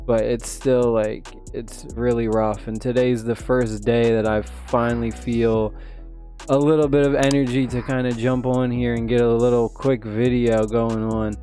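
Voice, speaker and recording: A man's voice: medium pitch, little pitch movement, measured pace, dark tone, faint music behind. A man hosting a mobile gaming channel, still sick and losing his voice a little, rambling casually with lots of fillers. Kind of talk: casual commentary on a mobile game update.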